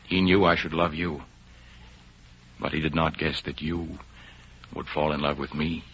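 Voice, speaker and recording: A man's voice: very deep voice, level tone, unhurried, fairly bright tone, slightly rough, full character voice. A man playing an earnest, lovestruck aristocratic count in a 1930s radio drama, speaking in a declamatory, romantic way. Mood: grave, confiding. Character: ardent aristocratic count, earnest lover and patriot. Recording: muffled old 1930s radio recording with music.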